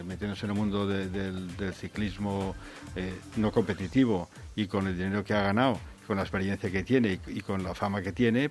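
Lower-voiced man, matter-of-fact. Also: a little mellow. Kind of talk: casual chat about cycling.

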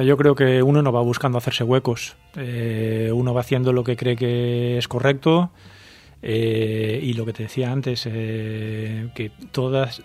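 A man from the Valencia region, a poet answering interview questions in a measured, thoughtful way with frequent hesitations. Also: mid-range voice, darkish tone. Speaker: poet, guest.

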